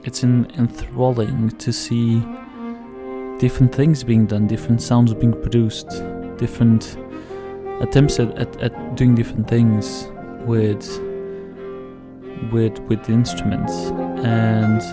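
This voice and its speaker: Slow, hesitant man over classical music. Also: mid-range voice, little pitch movement. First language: Portuguese. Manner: hesitant, rambling, repeats words. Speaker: radio show host. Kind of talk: casual commentary over music. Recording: loud music playing under voice. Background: classical viola and piano music.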